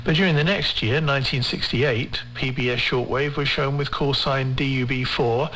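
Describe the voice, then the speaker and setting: A male radio presenter with a mid-pitched voice narrates a scripted feature. He reads in a measured, formal manner with careful enunciation. The shortwave recording carries light noise and hum. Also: fairly even intonation, fairly bright tone, poor audio.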